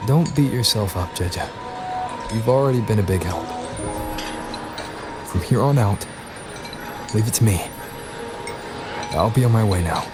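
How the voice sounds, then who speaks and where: A man voicing a calm, composed young hero in an anime-style game, speaking in a measured way. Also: fairly low pitch, natural voice. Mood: calm resolve. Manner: measured, reassuring. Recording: loud music under the voice.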